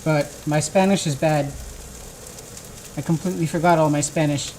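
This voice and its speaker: Low-voiced woman cooking over a sizzling pan. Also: moderate pace. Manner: relaxed, thinking aloud while cooking. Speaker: streamer. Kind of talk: casual cooking livestream talk. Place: home kitchen. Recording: kitchen noise and sizzling pan. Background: frying and sizzling.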